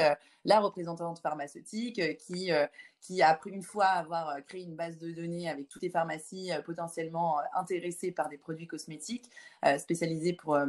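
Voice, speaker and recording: Female, 20s, slightly low pitch. Founder-guest in a conversational interview, halting with frequent filled pauses. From Lyon, France.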